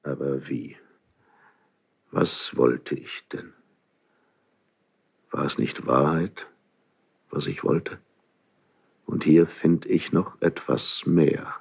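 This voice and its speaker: An actor playing a proud, lonely, mistrustful aging king in a 1970s German radio play, declaiming classical verse in weighty, measured phrases with pauses. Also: rumbling low, creaky, a little mellow, breathy edge, exaggerated voice. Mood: brooding.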